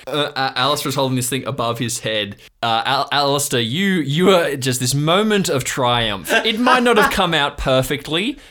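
A man's voice: medium pitch, expressive, normal speed, hardly any pauses, sharp tone. A man working as game master, improvising vivid, descriptive narration for a tabletop role-play adventure. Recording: music playing behind the voice. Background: music.

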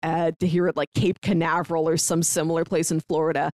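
A woman co-hosting a podcast, chatting casually as she describes and pitches shows. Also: level tone, quick pace, darkish tone, dry recording, clean studio sound. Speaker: podcast co-host.

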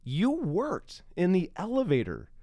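A man's voice: high voice, wildly swooping pitch, measured pace, warm tone, no echo. A middle-aged man hosting a podcast, shifting between dramatic reading and casual talk. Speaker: podcast host.

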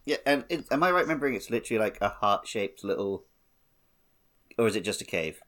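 A man's voice: lowish voice, expressive, fast, a bit bright. A man co-hosting a podcast, chatting casually about video games. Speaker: podcast co-host. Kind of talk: casual video game playalong chat.

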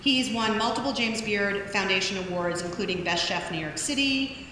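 A woman with a bright, forceful voice reads out a long, upbeat introduction and then moves into interview questions. Her voice echoes heavily through a large hall, with a long reverb tail and faint ambience behind it. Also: mid-range voice, moderate pace, a little hiss or hum. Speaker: interviewer, event moderator. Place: large room at an event.

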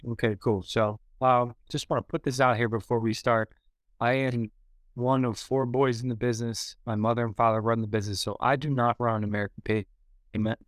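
Flat-voiced man around thirty, laid-back. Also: medium pitch, brisk, no room sound. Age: 30s. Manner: laid-back and matter-of-fact, with many fillers. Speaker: podcast guest. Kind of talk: casual interview talk.

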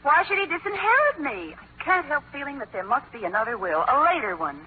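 An actress playing a querulous, self-pitying wife who badgers and dramatizes, in 1940s radio comedy style on an old recording. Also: female voice, high voice, big pitch swings, brisk. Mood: aggrieved. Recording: old radio, tinny narrow band, noisy.

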